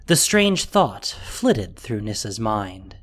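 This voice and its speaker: A man's voice: on the low side, big pitch swings, slow pace, fairly bright tone. A man narrating a fantasy audio drama in a scripted, dramatic storytelling style.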